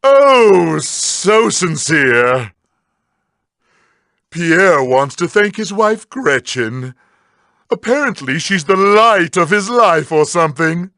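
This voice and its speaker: An actor playing a sardonic evil mad scientist villain in a game, with pompous, mocking, drawn-out theatrical delivery. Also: male voice, high voice, very animated, bright, forceful tone, no room sound, studio quality, heavily acted. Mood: scornful. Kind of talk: scripted comedic in-character monologue.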